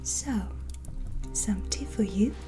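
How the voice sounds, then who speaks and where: Slow, whispery woman in soft-spoken ASMR. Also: mid-range voice, expressive. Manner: slow, gentle, soothing, with pauses. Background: soft relaxing music.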